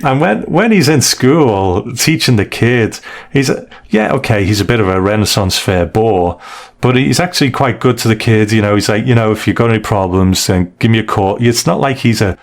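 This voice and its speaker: Guy podcast co-host, lowish voice, chatty, talking through stories casually. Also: lively intonation, moderate pace. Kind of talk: casual discussion reviewing stories.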